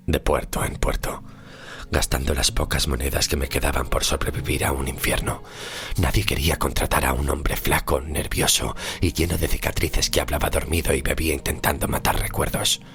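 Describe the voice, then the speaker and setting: An actor playing a haunted, scarred sailor of centuries past, narrating his survival story in an audio horror drama, grave and deliberate, slipping into near-whispers. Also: very deep voice, vocal fry. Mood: weary, ominous.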